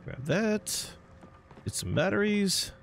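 A man's voice: high voice, big pitch swings, slow pace, vocal fry. A man giving casual, slangy, reactive commentary while playing a video game. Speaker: gameplay commentator. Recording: loud game music and sound behind. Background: game music and action sounds.

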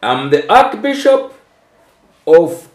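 A Tanzanian man in his seventies with a Tanzanian accent, a preacher speaking deliberately, phrase by phrase, often repeating himself. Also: on the high side, very animated. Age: 70s. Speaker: archbishop. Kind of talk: religious address given phrase by phrase for an interpreter. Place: small tiled room indoors. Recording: echoey room.

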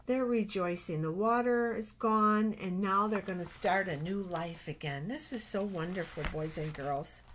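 An older woman reading a picture book aloud to young children, exclaiming and pointing things out with drawn-out words. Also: mid-range voice. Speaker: storytime reader for children. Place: small office, indoors. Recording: noisy webcam recording with clicks.

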